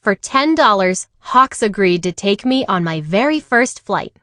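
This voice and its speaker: Animated, unhurried woman reading a storybook. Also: medium pitch, dry recording, studio quality. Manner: animated, acts out dialogue. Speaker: read-aloud storyteller. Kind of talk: expressive children's picture-book read-aloud.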